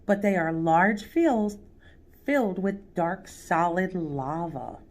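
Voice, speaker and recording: A woman's voice: on the low side, lively intonation, takes it slowly. A woman voicing a friendly, knowledgeable moon being in a children's storybook, speaking in formal, instructive phrases. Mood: reassuring, explaining. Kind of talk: children's storybook read-aloud character.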